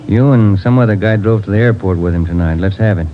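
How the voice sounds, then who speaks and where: An actor playing a steady police detective partner in a 1950s radio crime drama, easygoing but firm. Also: male voice, lowish voice, fast talker, slight background noise, natural voice. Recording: dull old radio recording under music.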